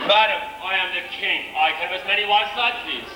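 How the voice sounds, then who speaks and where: A man's voice: high-pitched, fast, lightly acted. A man with an Irish accent playing a pompous, lecherous old king in a stage pantomime. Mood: pompous wooing. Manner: pompous, hesitant wooing. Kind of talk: broad amateur stage pantomime acting. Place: village hall stage, live performance. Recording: echoey village hall stage, noisy.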